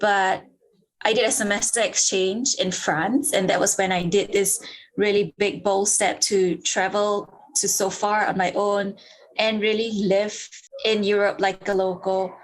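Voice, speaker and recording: A woman's voice: medium pitch, level tone, unhurried, rough recording. A young woman from Singapore, telling her story fluently and eagerly as an interview guest on a remote call. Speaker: guest, travel blogger.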